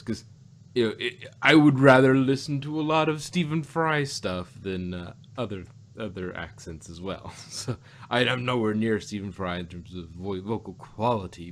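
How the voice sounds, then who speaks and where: A man's voice: low voice, a little hiss or hum. A man co-hosting a casual review podcast, rambling and often rephrasing as he goes. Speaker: podcast co-host reviewing audio drama. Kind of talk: casual chat.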